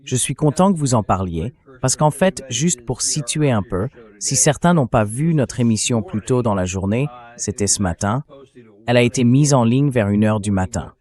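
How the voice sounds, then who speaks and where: A man's voice: mid-range voice, animated, normal speed, darkish tone. A man's synthetic translation voice hosting a political interview in a conversational, questioning way. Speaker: interview show host.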